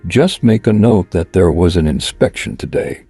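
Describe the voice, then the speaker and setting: A man voices a kindly, dignified older boss for a manga dub. His voice is low and raspy, polite yet authoritative. Music sits softly behind him. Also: animated, moderate pace, dry recording. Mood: indulgent. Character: dignified, kindly older male association president. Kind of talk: dramatic manga dub acting.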